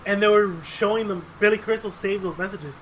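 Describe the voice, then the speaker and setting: High, bright-voiced man rambling casually. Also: a little fast, slight background noise, low-quality recording. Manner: profane, rambling, animated storytelling with acted-out quotes. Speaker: podcast co-host. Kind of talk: casual chat.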